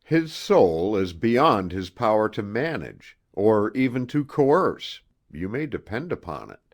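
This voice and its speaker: A man voicing a dry, acrid male professor in an audiobook, with a rather strident, deliberate, sardonic delivery. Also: lowish voice, big pitch swings, exaggerated voice. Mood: scornful, insistent.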